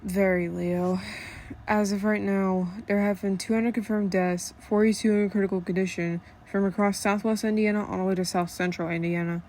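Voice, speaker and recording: A woman plays a serious female radio reporter in a radio drama. Her voice sits at an average pitch for a woman. She delivers scripted news in a grave, matter-of-fact manner. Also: steady tone, moderate pace, darkish tone, a little hiss or hum, lightly acted. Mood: grave, factual.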